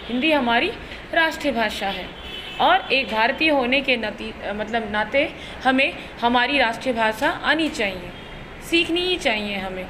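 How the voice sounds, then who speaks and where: A woman's voice: high voice, background noise. A woman with an Indian accent teaching a lesson in a didactic way, repeating and rephrasing her points and posing questions as she explains. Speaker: Hindi teacher. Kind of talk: bilingual classroom lesson explanation.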